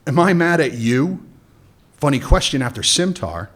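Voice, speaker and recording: A man's voice: fairly high pitch, animated, normal speed, fairly bright tone. A man playing a cynical male smuggler turned hotel owner, dry and sardonic, in a radio play. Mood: bitter. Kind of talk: amateur radio drama acting.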